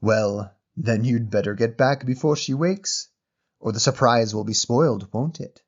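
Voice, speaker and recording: A man plays a very old fruit seller in a short story. He is kindly and amused, speaking in a gentle, unhurried, teasing way at a medium pitch. The sound is a touch muffled. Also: close to an everyday voice. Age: elderly. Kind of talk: audio short story character voice.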